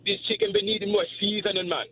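Guy doing a jamaican cooking show dude, upbeat, high pitched, muffled phone line. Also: fairly even intonation, quick pace, noisy background, lightly acted. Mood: playful. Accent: put-on Jamaican.

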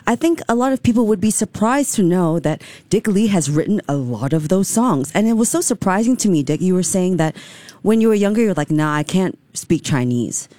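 A woman's voice: lowish voice, animated, fairly quick, warm tone, dry recording. A woman from Singapore co-hosting a radio talk show, playful and bubbly. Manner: playful, bubbly, laughs easily. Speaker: radio co-host. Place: radio studio.

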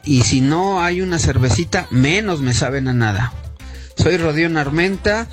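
A Mexican man in his sixties leaving a relaxed, chatty voice note for a radio show from his phone. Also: on the high side, a little slow, faint music behind. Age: 60s. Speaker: listener sending a voice note. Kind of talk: casual voice message.

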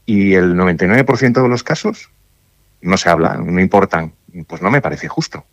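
Deep-voiced man, halting and thoughtful. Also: lively intonation, no echo. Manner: thoughtful, halting, many restarts and fillers. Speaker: judge interviewed on radio. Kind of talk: radio interview answers.

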